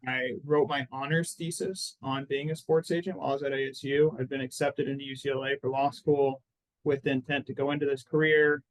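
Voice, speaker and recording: A man in his thirties from Phoenix, a lawyer guest talking in a relaxed, chatty way, full of "like" and "I mean". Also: medium pitch, a little fast, low-quality recording. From Phoenix, Arizona. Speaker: personal injury lawyer, guest. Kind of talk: casual interview answers.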